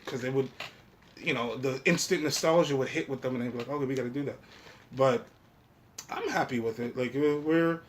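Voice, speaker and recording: Male podcast host, medium pitch, informal and foul-mouthed chat, audible ambient noise. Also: a little fast. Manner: profane, slangy, informal talk. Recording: clear background noise.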